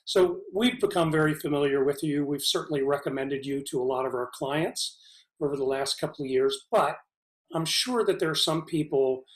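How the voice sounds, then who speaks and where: A man's voice: a little high, moderate pace. A man hosting a travel interview, polite and slightly hesitant, with frequent fillers. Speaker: travel show host. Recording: echoey room.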